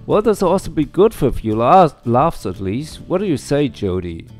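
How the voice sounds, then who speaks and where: Cheeky dog-man rogue read by a male player, chummy, slangy, fantasy game, lively pitch swings. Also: normal speed, faint music behind. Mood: amused.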